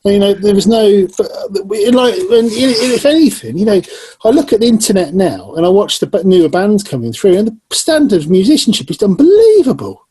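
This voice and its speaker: A man from Northamptonshire hosts a relaxed conversation, with chatty remarks and laughing asides. His voice is high yet mellow and husky in tone. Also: fairly quick. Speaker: host. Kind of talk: casual interview chat.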